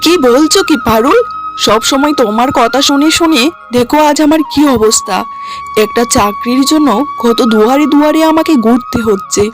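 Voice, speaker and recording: A woman's voice: sits high, normal speed, over music, close to an everyday voice. A woman voicing a young husband in a cartoon, exasperated and lecturing reproachfully. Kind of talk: cartoon dialogue.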